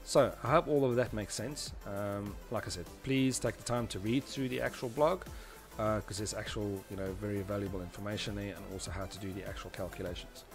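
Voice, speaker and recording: A man with a fairly low voice explains technical material as a tutorial presenter. His delivery is conversational and somewhat halting, as if talking a learner through each step. Music plays faintly behind him. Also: steady tone.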